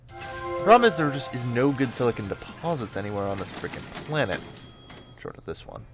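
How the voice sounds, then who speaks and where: Guy with a lowish voice doing chill game commentary, kinda rambly, music in the back. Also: creaky. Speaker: host of a gameplay video. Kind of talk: casual game commentary, thinking aloud.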